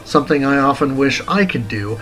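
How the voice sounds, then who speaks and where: A man of forty reading a scripted retro game review as host, in a deliberate, articulate, wordy way. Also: mid-range voice, animated, a bit bright, rough recording. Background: music.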